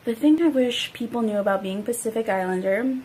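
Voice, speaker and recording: A woman's voice: fairly high pitch, fairly quick, a little mellow, a little hiss or hum, rough recording. A woman giving an explanatory personal statement.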